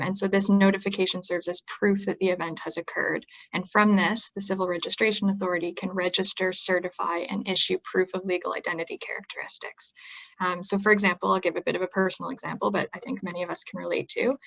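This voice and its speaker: Creaky-voiced woman explaining steadily. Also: mid-range voice, a bit bright, low-quality recording. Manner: measured, with frequent um fillers. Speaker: webinar panelist. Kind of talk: explanatory presentation.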